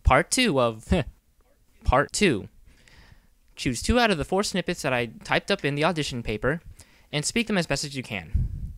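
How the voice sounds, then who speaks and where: Man, middle-pitched, dry close sound, casual tutorial host giving audition instructions. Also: sing-song, moderate pace, a bit bright, dry recording. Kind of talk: casual scripted tutorial narration.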